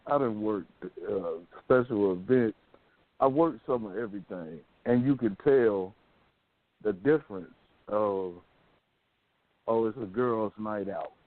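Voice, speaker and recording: A man's voice: on the low side, no echo. An American man hosting a casual call-in radio discussion, talking in a rambling, colloquial storytelling way. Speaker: radio show host. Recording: narrow phone-like sound.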